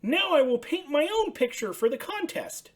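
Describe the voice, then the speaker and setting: A woman voicing an excitable, fretful young male puppet in a children's storybook, exclamatory and earnest. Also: sits high, moderate pace, voice pushed up. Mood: worried, earnest.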